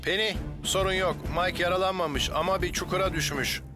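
A man's voice: high voice, steady tone, brisk, loud music behind the voice, lightly acted. A man voicing a brave, caring firefighter hero in a dubbed children's cartoon, with reassuring authority. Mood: calm, urgent.

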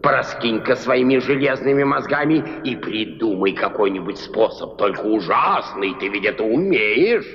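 A man's voice: medium pitch, lively intonation, normal speed, few pauses, natural voice. Man playing a wildly swooping, tyrannical cartoon king. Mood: gloating, menacing. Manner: theatrical, menacing, mock-courteous. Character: tyrannical, sneering cactus king, cruel and gloating. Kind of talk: dubbed cartoon acting. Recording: muffled old film soundtrack, long reverb, music.

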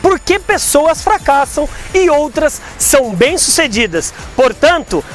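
A Brazilian man in his forties with a strikingly high voice delivers an emphatic, persuasive motivational talk straight to camera. He speaks through a lapel mic while standing outdoors on an overpass above traffic, asking the listener rhetorical questions. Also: sing-song, unhurried, soft background music. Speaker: sales speaker and host.

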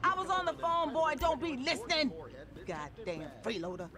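A woman's voice: high-pitched, very animated, moderate pace, a crowd in the background. A woman voicing a nagging, scornful middle-aged housemate in a video game, sassy and scolding. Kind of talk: video game cutscene acting.

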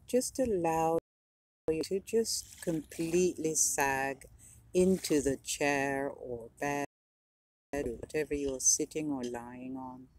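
A meditation guide speaking slowly and soothingly, with long pauses, leading a guided relaxation and reading affirmations aloud. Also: medium pitch, darkish tone. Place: outdoors near the sea.

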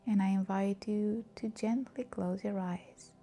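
Very mellow, slow-paced woman guiding meditation. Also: medium pitch, faint music behind. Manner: soothing, calm, unhurried. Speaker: guided meditation host. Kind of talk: scripted guided meditation.

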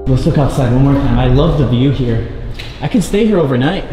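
A young man in his twenties talking excitedly to a handheld camera as he explores an abandoned sea fort, thinking aloud. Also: mid-range voice, brisk, faint music behind. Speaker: urban explorer vlogger. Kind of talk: casual handheld vlog narration. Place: inside an abandoned sea fort, concrete corridors and rooftop.

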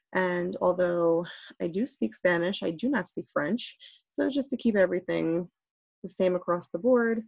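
A woman with a lowish, husky, slightly gravelly voice talks a viewer through a software tutorial, chatty and step by step. The sound is dry and a little dull, like a computer mic during a screen recording. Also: no room sound. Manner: chatty, step-by-step, many okays. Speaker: teacher making tutorials.

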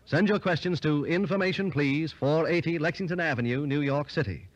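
A man's voice: fairly high pitch, a little fast, no room sound, lo-fi sound. An American man reading a scripted 1940s radio sponsor announcement. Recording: old 1940s radio broadcast.

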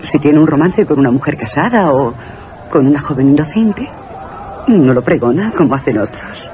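A woman's voice: low voice, lively intonation, moderate pace, warm tone, a little hiss or hum. A woman playing an intrigued, wary London society gossip in a radio drama, theatrical and insinuating. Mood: intrigued, gossipy. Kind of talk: Spanish radio theatre acting.

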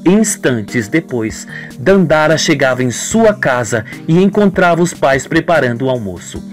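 A woman's voice: sits low, very animated, a little slow, soft background music. A woman with a Brazilian accent narrating a scripted radio soap in a melodramatic storytelling manner.

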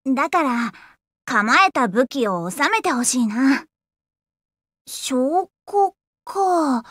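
A woman's voice: high-pitched, fairly bright tone, no room sound, clean studio sound, full character voice. A woman voicing a plucky, earnest, friendly young fox-eared girl adventurer in a Japanese anime game, with boyish, frank, upbeat phrasing. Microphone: close, dry studio recording.

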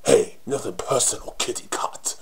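A man voicing a cocky, flirty undead punk rocker monster for a game, with a raspy British accent and cheeky taunting slang. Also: normal speed, whispered, lightly acted. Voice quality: raspy, husky. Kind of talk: video game character voice demo.

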